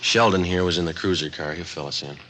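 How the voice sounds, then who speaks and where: A man's voice: sits low, fast, heavy background noise, close to an everyday voice. A man playing a terse, no-nonsense police detective in an old radio crime drama, clipped and matter-of-fact. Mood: businesslike. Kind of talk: 1950s radio crime drama narration and dialogue. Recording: vintage radio drama recording.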